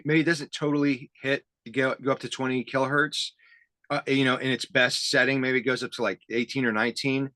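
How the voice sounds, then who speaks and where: A man with a bright, forward voice and a mid-range pitch hosts a relaxed interview. He talks in a halting, self-deprecating and enthusiastic way. The sound is dry and close, with hardly any room. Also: dry recording. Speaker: interview host. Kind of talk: casual conversational interview about tape gear.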